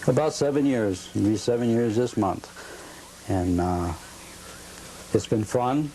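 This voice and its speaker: A man answering interview questions at home about his collecting, in a relaxed and somewhat halting, rambling way. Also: mid-range voice, slight background noise, low-quality recording.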